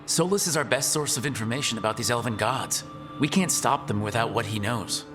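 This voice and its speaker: A man's voice: medium pitch, fairly quick, in a large hall, natural voice. Man as an earnest young hero, music behind. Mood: troubled, doubtful. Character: young male hero, earnest, self-doubting recruit leader. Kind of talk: video game voice acting.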